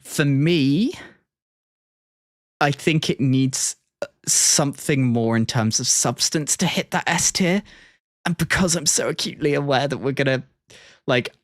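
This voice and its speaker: A man's voice: mid-range voice, a little slow, dry recording. Man streamer thinking aloud, dry close sound. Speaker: streamer reacting to and ranking game trailers. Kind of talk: casual livestream commentary. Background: music.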